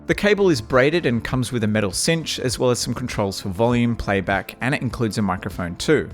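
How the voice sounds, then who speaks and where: A man with a nasal voice, giving a measured, wry scripted review of audio gear. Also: medium pitch, expressive, faint music behind. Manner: measured, wry and matter-of-fact. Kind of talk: scripted product review narration.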